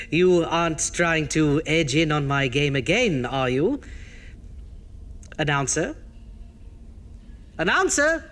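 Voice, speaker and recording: A man playing a vain, prickly undead horror host, hammy and halting in the style of a campy radio horror show. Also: on the high side, lively intonation, a bit bright. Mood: wheedling, nervous. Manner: hammy, halting, drawn-out showman delivery.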